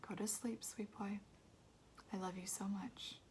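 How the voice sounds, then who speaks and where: Woman as gentle, soothing storybook mother. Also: mid-range voice, fairly even intonation, measured pace, a little hiss or hum, close to an everyday voice. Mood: soft, soothing. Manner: soothing, affectionate. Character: loving, gentle mother of a young boy.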